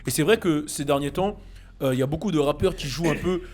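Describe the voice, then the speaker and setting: A French man with a French accent, hosting a casual chat about rap, relaxed and full of fillers and restarts. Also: fairly high pitch, fast, a little hiss or hum. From France. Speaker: podcast host.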